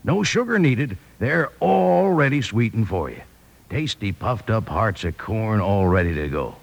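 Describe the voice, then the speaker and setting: An American actor playing a cheerful old cowpoke with a raspy voice and drawling, hearty sales patter in a radio advertisement. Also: male voice, lowish voice, lively intonation, a little slow, heavily acted. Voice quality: creaky, gravelly, raspy. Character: folksy old cowpoke cereal pitchman, cheerful.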